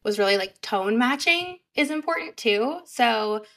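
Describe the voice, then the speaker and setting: A woman guest on a podcast, high energy and chatty, with a fairly high voice and lots of fillers. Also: animated, a little slow, fairly bright tone. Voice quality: higher pitched voice. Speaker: sales representative guest. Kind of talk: casual podcast interview.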